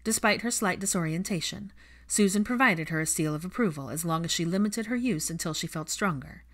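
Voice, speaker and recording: A woman's voice: fairly low pitch, animated, brisk, a bit bright. A woman reading fiction aloud as an audiobook narrator, in scripted storytelling style.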